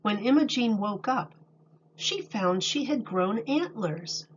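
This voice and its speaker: A woman reading a picture book aloud to children like a teacher, deliberate and expressive. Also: medium pitch, slight background noise. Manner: deliberate, expressive, explains words for young children. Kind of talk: read-aloud storybook narration. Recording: dull lo-fi recording.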